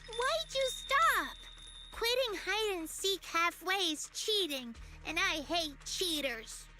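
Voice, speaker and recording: A small, otherworldly girl from a video game, very high in pitch and unhurried. She teases in a singsong way, aloof and playful, with faint music behind her. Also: expressive, bright, forceful tone, exaggerated voice. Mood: petulant. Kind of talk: voiced game story dialogue.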